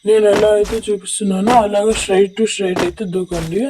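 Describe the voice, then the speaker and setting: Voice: mid-range voice, steady tone, fairly quick, poor audio. A gaming creator with a Telugu accent and a voice changer effect, giving instructional, repetitive gameplay tutorial commentary. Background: music.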